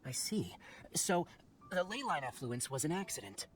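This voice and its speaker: An actor playing a thoughtful young forest scholar in a video game, explaining in a measured, analytical manner. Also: male voice, on the high side, very animated, slight background noise. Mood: thoughtful, explanatory.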